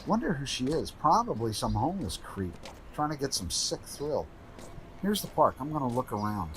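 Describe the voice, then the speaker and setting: An actor playing an earnest, grieving, easily agitated widower in a radio drama. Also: male voice, medium pitch, sing-song, normal speed, fairly bright tone, slight background noise, poor audio. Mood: weary, annoyed.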